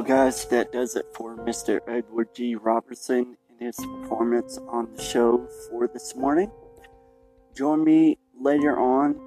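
Slow, flat, mellow male podcast host. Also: mid-range voice. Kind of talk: scripted podcast outro. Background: guitar music.

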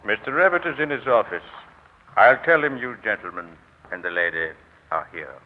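An actor playing a formal, polite, deferential gambling club attendant in a 1940s radio drama. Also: male voice, fairly low pitch, animated, brisk, soft background music, close to an everyday voice. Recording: tinny, noisy old radio broadcast.

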